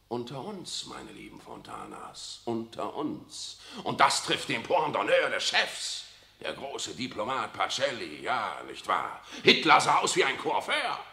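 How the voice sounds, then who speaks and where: A sly, cynical old cardinal. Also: male voice, mid-range voice, gravelly, pushed and bright, roomy sound, exaggerated voice. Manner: ironic, confiding, keeps adding "nicht wahr". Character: worldly, cynical older male cardinal. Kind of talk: 1960s radio drama acting.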